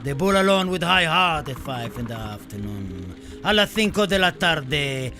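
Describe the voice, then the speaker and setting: An actor playing an impassioned, lyrical Spanish poet held prisoner, declaiming dramatically in a theatrical radio play. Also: male voice, on the high side, big pitch swings, normal speed, over music. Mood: impassioned lament.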